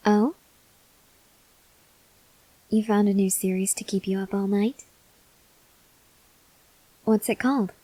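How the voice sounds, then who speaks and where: A woman playing an earnest, desperate female vampire scientist in an ASMR roleplay, speaking haltingly in a breathy voice that drifts into whispers. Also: mid-range voice, fairly even intonation, warm tone, dry recording, low-quality recording. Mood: gently curious. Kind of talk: ASMR roleplay, slow dramatic monologue to the listener.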